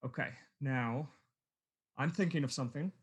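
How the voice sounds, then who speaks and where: An actor playing a kindly, good-humored nephew in a radio play, speaking in a jovial, earnest way. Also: male voice, mid-range voice, moderate pace, breathy edge, lightly acted.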